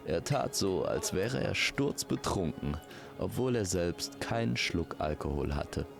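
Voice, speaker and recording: A man's voice: low voice, animated, a little slow, gravelly, over music. A man narrating a scripted fantasy story as a storyteller.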